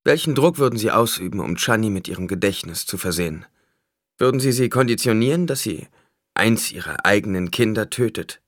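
A man's voice: lowish voice, animated, a little fast, no echo, clean studio sound, voice pushed up. A man voicing a tense, defiant noble leader in an audiobook, guarded and commanding. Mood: forced calm. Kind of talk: audiobook character acting.

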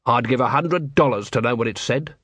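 Gruff male watch captain for a fantasy audiobook, sardonic, mid-pitched narrator's character voice, close dry recording. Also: brisk, fairly bright tone, no echo. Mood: grim, wry.

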